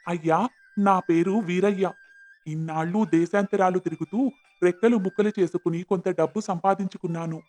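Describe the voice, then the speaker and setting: Man playing a high-voiced, humble, earnest labourer. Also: darkish tone, lightly acted. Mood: respectful. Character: humble, devout, hardworking orphan man, pleading and sincere. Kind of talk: cartoon moral-story acting. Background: background music.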